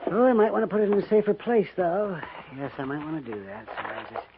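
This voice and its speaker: A fussy frontier storekeeper who loves his money. His voice sits a bit high and moves around a lot as he mutters, hesitates and repeats himself. It comes through a tinny, noisy 1950s radio western recording. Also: sing-song, fast talker. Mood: absorbed, pleased.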